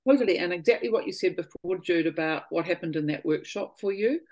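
Guest, fifties woman, low voice, earnest, circling thoughts, soft trailing phrases on a video call. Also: fast. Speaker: voice and healing workshop leader. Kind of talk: casual spiritual conversation. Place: home living room.

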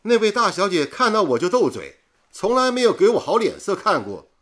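A man's voice: sits high, huge pitch swings, brisk, a bit bright, exaggerated voice. An actor playing a teasing, carefree young village-born man in a radio drama, speaking with playful exclamations. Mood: baffled, wry. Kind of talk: Mandarin radio drama acting.

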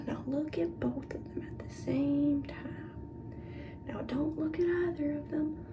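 A woman doing an ASMR roleplay as a clinical examiner, speaking softly, often in whispers, and giving short, playful instructions. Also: high voice, fairly even intonation, a little slow. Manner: soft-spoken, often dropping into whispers. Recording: dull lo-fi audio with clear background noise.

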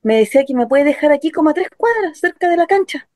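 A woman's voice: high voice, expressive, fast, a little mellow, dry recording, clean studio sound. A woman playing a young working-class Chilean daughter in a dramatic first-person radio play. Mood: light, chatty. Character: young working-class daughter recounting her assault, wounded, bitter. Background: music.

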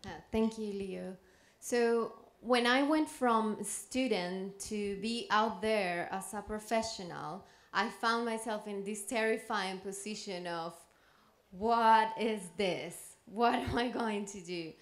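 A woman's voice: mid-range voice, slow. A young woman from Colombia, speaking earnestly with fillers on a conference panel into a handheld mic. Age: young adult. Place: conference room with audience.

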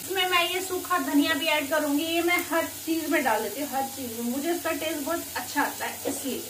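Chatty high-voiced young woman vlogger. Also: brisk, a bit bright, slight background noise, rough recording. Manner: chatty, talks straight to camera while cooking. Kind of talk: casual vlog chat and cooking talk. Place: small home kitchen and living room indoors.

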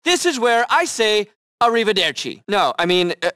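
An actor playing a smug, egomaniacal villain in a video game, speaking in a mocking, theatrical manner. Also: male voice, high voice, big pitch swings, moderate pace, pushed and bright, smooth, dry recording, clean studio sound, heavily acted. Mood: gloating.

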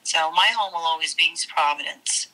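A woman's voice: low voice, normal speed, bright, forceful tone, rough recording. A woman with a Rhode Island accent from New England, answering interview questions firmly and heartfelt.

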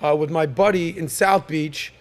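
A man hosts a casual podcast panel in a fairly high voice. He sounds upbeat and jokey and keeps stumbling into fillers and restarts as he runs through the show. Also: fairly even intonation, normal speed. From United States. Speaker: podcast host.

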